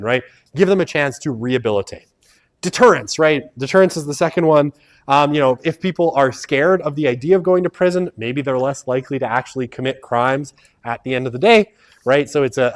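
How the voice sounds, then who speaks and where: A man giving a university lecture to a class on a wireless mic, talking in a loose conversational way with many "right?" tags and fillers. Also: fairly high pitch. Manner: animated, swings to loud emphasis and mutters asides. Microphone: wireless lavalier mic.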